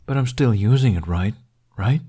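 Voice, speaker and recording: A man's voice: lowish voice, expressive, normal speed, dark tone, breathy edge. Man voicing a cheeky, gluttonous male dragon. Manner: cheeky, teasing.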